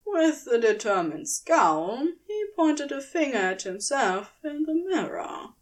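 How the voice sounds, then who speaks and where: A woman reading scripted fan fiction as narrator, in a measured, expressive storytelling manner. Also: high voice.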